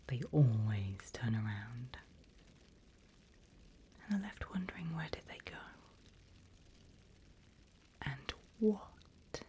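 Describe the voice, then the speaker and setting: A woman playing a seasoned demon mentor in a whispered ASMR roleplay, breathy and deliberate, playfully sinister and teasing. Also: low voice, dark tone, a little hiss or hum, exaggerated voice.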